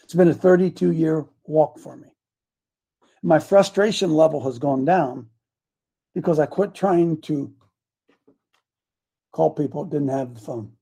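Gravelly folksy preacher in his seventies. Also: on the high side, unhurried, pauses often, mellow. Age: 70s. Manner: folksy, repetitive, emphatic. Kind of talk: casual sermon.

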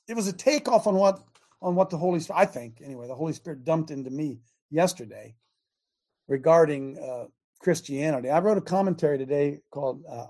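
A male talk show host with a scratchy, husky voice worn by chronic laryngitis and a lingering cough. His tone is dark and mellow. He rambles loosely, then drives his points home with fervent, emphatic preaching. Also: fairly high pitch. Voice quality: raspy, scratchy voice. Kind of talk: fiery preaching talk radio.

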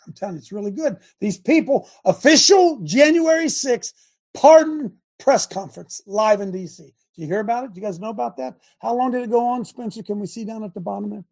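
Gravelly high-voiced male talk host. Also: darkish tone. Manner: emphatic, exclaiming, directs the producer. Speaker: host of a live talk stream. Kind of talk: casual live-stream talk show.

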